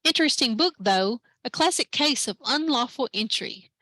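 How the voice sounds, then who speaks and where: A woman reading aloud voices a by-the-book police officer who talks in quick, clipped official jargon. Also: a little high, lively intonation, sharp tone, no echo, poor audio. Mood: briefing. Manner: rapid clipped official talk.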